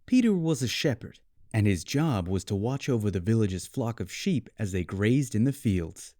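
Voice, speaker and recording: A man with an American accent reading a scripted storybook tale for English learners in clearly phrased, measured storytelling. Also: fairly low pitch, sing-song, mellow, no echo, studio quality. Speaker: story narrator.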